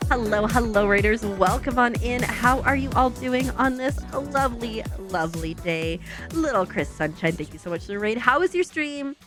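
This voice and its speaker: A woman's voice: on the high side, expressive, moderate pace, over music. A young adult woman streaming a game, chatting in an upbeat, giggly way and a little congested. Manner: chatty, upbeat, giggly, talks to herself while building. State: slightly congested. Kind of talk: casual chat while playing a game.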